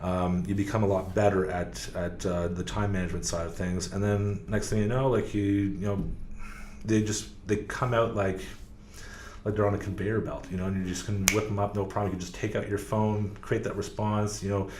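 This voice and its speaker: A man's voice: lowish voice, little pitch movement, brisk. Man, podcast guest, casual rambling speech, roomy echo. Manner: rambling, many fillers and restarts. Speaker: podcast guest, car sales professional. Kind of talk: casual interview talk. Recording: echoey room, faint background noise.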